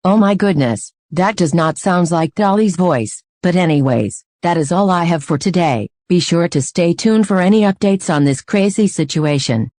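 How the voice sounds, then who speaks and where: A woman's voice: lowish voice, moderate pace, few pauses, darkish tone, no room sound, lightly acted. A woman playing a flustered reporter giving a scripted crisis update.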